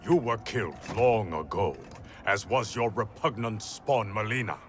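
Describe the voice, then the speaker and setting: An actor playing a proud warrior emperor who speaks in a grave, commanding way. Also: male voice, on the low side, measured pace, fairly bright tone, a little hiss or hum. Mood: stern. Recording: game cutscene with music.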